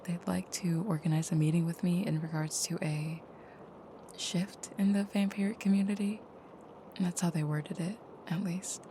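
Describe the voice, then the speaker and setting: Actress as tender vampire girlfriend for comfort roleplay audio: lowish, creaky, hushed and hesitant. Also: fairly even intonation, normal speed, a little hiss or hum, natural voice. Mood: hesitant, confiding. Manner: soft, hushed, hesitant, often dropping to a near whisper. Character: gentle, caring vampire girlfriend, soft-spoken and hesitant.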